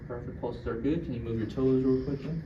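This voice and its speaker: Young male student nurse, polite, matter-of-fact clinical demo, medium pitch, recorded far off under heavy noise. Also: steady tone, fairly quick, highs cut off. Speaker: nursing student acting as examiner. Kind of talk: practical exam demonstration, giving instructions and narrating findings. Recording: distant mic, echoey room, loud background noise.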